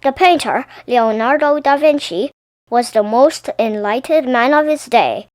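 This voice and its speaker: A woman reading a children's picture book aloud as an expressive storyteller, animated and careful with her words. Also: high voice, a little slow, no room sound.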